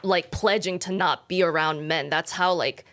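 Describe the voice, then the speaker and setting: A woman's voice: on the low side, moderate pace, fairly bright tone. A woman from Michigan co-hosting a podcast, chatting casually with many "like" fillers, swearing freely and dropping Korean phrases into her English. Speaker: podcast co-host. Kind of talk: casual chat.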